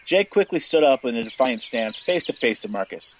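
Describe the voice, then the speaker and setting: A man's voice: mid-range voice, animated, brisk, highs cut off, a little hiss or hum. A man reading scripted Western fiction aloud as the narrator of an audio story.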